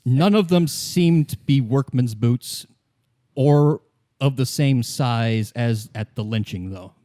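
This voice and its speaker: A man narrating an improvised tabletop game as game master, in a halting, conversational way. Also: mid-range voice, mellow, no room sound, clean studio sound.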